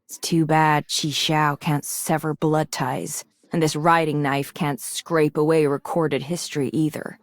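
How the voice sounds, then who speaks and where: A woman voicing a mature, resolute and idealistic swordswoman in a video game, composed and earnest in her measured delivery. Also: sits low, flat delivery, no room sound. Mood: solemn, reflective. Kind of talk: scripted video game character lines.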